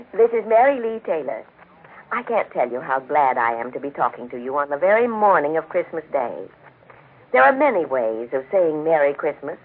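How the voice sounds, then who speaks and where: A woman's voice: lowish voice, breathy edge. A woman with an American accent hosting a radio program, reading in a scripted 1940s style. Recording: thin phone-like 1940s radio recording.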